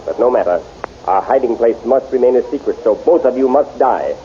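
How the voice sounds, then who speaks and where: An actor playing a stern, proud exiled prince in a 1930s radio serial, with commanding, formal speech, in a dull lo-fi old recording. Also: male voice, mid-range voice, expressive, brisk, warm tone, a little hiss or hum, close to an everyday voice.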